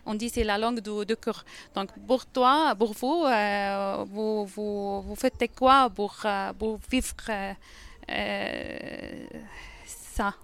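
A woman's voice: medium pitch, slow, fairly bright tone, clean tone. A woman from Syria, a native Arabic speaker with a Syrian accent, asking questions conversationally and hesitantly, rephrasing as she goes. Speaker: interviewer.

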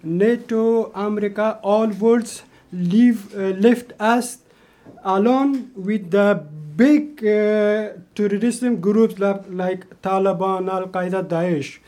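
Man, high voice, slow and hesitant, presenting his artwork in broken English. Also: steady tone, mellow. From Afghanistan. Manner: hesitant, many fillers and restarts. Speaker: artist presenting an installation.

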